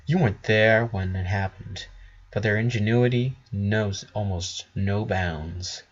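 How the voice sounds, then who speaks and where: A man's voice: on the low side, measured pace, dull audio, close to an everyday voice. A man voicing a determined female unicorn in a story reading, harsh and lovesick. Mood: cold, intense.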